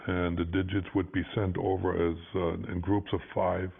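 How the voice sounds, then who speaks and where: Deep, gravelly man on muffled clip. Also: little pitch movement, moderate pace. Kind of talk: unscripted interview explanation. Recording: muffled, phone-like low-fidelity archive clip.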